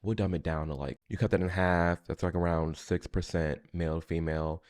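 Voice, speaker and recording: A man's voice: sits low, steady tone, fairly quick, a little mellow. A man with an American accent giving casual reaction commentary in an informal, emphatic way. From United States. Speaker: reaction video host, commentator.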